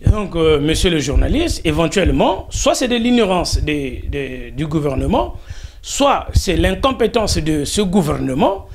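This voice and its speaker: A man's voice: a little high, sing-song, unhurried, slight background noise. A man with a Congolese French accent giving formal, emphatic interview answers, repeating phrases for rhetorical effect. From Congo-Brazzaville. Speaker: interviewee, diaspora spokesman.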